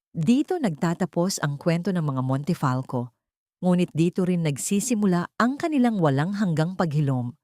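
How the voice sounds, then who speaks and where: A woman's voice: on the low side, a little mellow, no echo, studio quality. A Filipino woman narrating a scripted dramatic story in a sentimental, solemn manner. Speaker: audiobook narrator.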